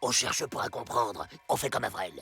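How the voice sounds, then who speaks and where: A man's voice: medium pitch, lively intonation, normal speed, creaky. A man playing a short, bossy, hot-tempered outlaw gang leader in a cartoon, barking impatient orders. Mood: scheming. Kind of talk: French cartoon dub acting. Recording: loud music behind.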